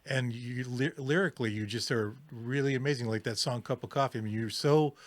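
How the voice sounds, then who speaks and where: A man hosting a radio show, enthusiastically praising his guest with many halting restarts. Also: mid-range voice, no echo. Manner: enthusiastic, gushing, halting with fillers. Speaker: radio DJ host. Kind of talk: casual interview. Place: California.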